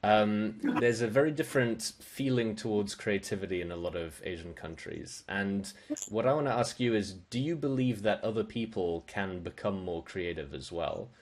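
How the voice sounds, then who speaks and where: A man's voice: fairly low pitch, expressive, moderate pace, a little hiss or hum. A man from Singapore asking questions conversationally as a podcast interviewer. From grew up in Singapore.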